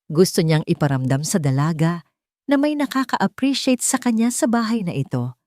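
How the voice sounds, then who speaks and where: A woman's voice: fairly low pitch, big pitch swings, normal speed, darkish tone, dry recording, clean studio sound. A woman narrating a scripted love story as a storyteller, in a dramatic style.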